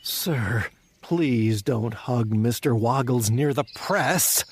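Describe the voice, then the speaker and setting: An actor playing a practical, exasperated presidential aide in a comedic audio drama, insistent yet deferential. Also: male voice, mid-range voice, slow pace, very noisy.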